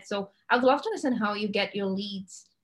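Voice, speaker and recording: A woman's voice: mid-range voice, a little fast, faint music behind. A woman interviewing a guest, asking questions in a hesitant way as she searches for her words.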